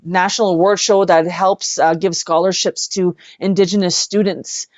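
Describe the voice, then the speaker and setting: Woman, lowish voice, effusive interview guest telling stories casually, slightly dull audio. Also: fairly even intonation, normal speed, dry recording. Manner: effusive, repeats words for emphasis. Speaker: interview guest, singer-songwriter and actress.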